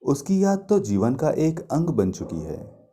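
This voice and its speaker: A man's voice: mid-range voice, sing-song, a little slow, warm tone, pitched above the performer's own voice. A man playing an earnest, devoted young student from mythology in a story, speaking reverently and in a declamatory way. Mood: fond, nostalgic.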